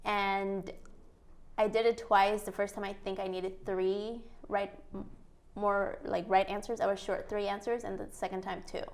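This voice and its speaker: Laughing, animated woman from Mexico. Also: medium pitch, a little slow. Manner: animated storyteller, laughs often, quotes people in lively voices. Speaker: guest, entrepreneur. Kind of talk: casual interview chat.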